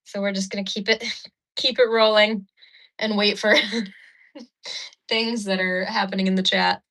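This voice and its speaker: A woman's voice: mid-range voice, level tone, a little slow, a bit bright. A woman hosting a casual livestream, chatting with viewers and laughing while she does her makeup, recorded on a phone. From Ukraine. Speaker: livestream host. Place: indoors at a mirror.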